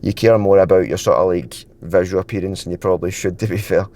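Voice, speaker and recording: A young man in his twenties talking casually to camera in a fitness vlog, rambling with lots of filler words, his throat a bit dry and croaky. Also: deep voice, fairly even intonation, quick pace, darkish tone. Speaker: fitness vlogger.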